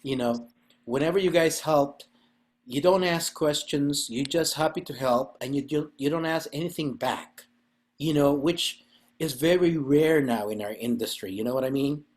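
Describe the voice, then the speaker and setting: A man's voice: fairly high pitch, normal speed. Chatty man hosting a podcast. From Philippines. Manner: rambling, chatty, laughs often. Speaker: podcast host and festival organizer. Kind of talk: casual chat.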